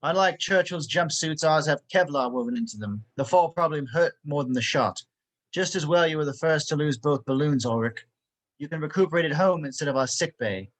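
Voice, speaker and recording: A man playing a stern, dry-witted spy instructor in an amateur table read, crisp and matter-of-fact. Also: on the high side, a little fast, natural voice, rough recording. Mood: brisk, dry.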